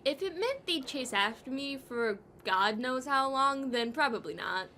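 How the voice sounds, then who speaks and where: A woman's voice: sits high, expressive, a little slow, pushed and bright, slight background noise, exaggerated voice. A woman playing a blunt, skeptical, sardonic ghost in an audio drama.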